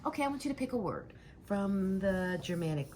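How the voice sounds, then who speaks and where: A woman's voice: medium pitch, sing-song, a little fast, darkish tone. Woman, teacher, very varied intonation, encouraging, emphatic key words, questioning a student, faint background noise. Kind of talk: spoken lesson, questioning a student.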